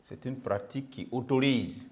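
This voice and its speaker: A man's voice: medium pitch, moderate pace, a little hiss or hum. A male history teacher, giving a didactic lesson, dictating and repeating phrases with frequent check-in tags. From Burkina Faso. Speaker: middle school history teacher.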